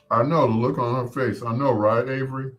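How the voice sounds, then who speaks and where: A man's voice: medium pitch, fairly even intonation, moderate pace, lo-fi sound. An American man in his sixties hosting a casual live chat, reminiscing in a rambling, conversational way and repeating points for emphasis. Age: 60s. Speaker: host.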